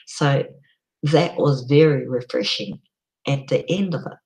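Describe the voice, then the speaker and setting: A middle-aged woman with a croaky voice, hosting a casual solo live stream, chatty and unhurried with frequent ums. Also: low-pitched, darkish tone, low-quality recording.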